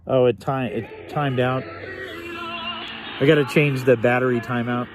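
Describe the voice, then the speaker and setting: A man's voice: medium pitch, sing-song, takes it slowly, soft background music. A man giving a casual, hands-on gadget demo, unscripted and musing aloud as he tinkers. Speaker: vlogger reviewing a radio.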